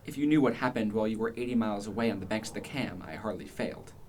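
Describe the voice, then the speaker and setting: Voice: medium pitch, rapid-fire, a little mellow, natural voice. A performer playing a proud, argumentative male Renaissance philosopher in exile in a radio play, speaking in a dry, haughty manner. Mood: indignant, haughty. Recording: faint background noise.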